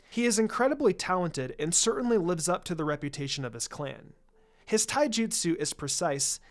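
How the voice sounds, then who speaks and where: A woman voicing a polite, earnest young ninja girl with a formal, careful manner in a story. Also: lowish voice. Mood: thoughtful.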